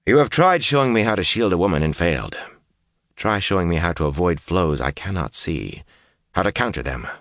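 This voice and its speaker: A man voicing a hard young lord in an audiobook fantasy tale, cold and rough, giving terse commands and threats. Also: low voice, very animated, a little fast, fairly bright tone, dry recording, studio quality, heavily acted. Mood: cold, demanding.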